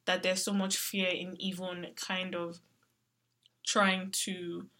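A woman's voice: fairly low pitch, flat delivery, takes it slowly, pushed and bright. A young woman from Nigeria talking casually and thoughtfully in a panel discussion.

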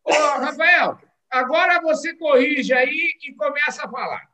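Upbeat guy hosting a podcast, bright sharp voice, chatty and folksy, keeps talking to the audience. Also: very high-pitched, unhurried, slight background noise. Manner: exuberant, folksy, addresses listeners directly. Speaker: podcast host. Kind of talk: lively podcast interview.

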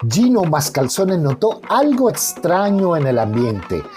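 Animated mellow male radio drama narrator. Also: fairly high pitch, measured pace. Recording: faint music under narration.

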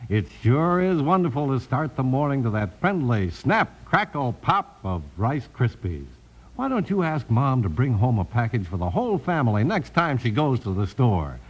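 A man's voice: mid-range voice, big pitch swings, moderate pace, slightly rough, highs cut off. A man announcing and narrating an old radio western in a polished, dramatic, scripted 1950s style.

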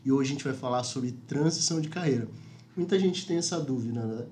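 Male host for a corporate live chat, mid-pitched, relaxed conversational delivery, faint background hiss. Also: fairly quick. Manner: conversational, frequent "né" tags. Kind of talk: company livestream chat.

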